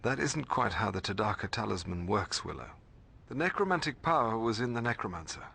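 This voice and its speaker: An actor playing a bookish, middle-aged British librarian mentor in a video game, formal and precise, hesitating when puzzled. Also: male voice, fairly low pitch, sing-song, brisk, creaky, bright, forceful tone, breathy edge, heavily acted. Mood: earnest, cautioning.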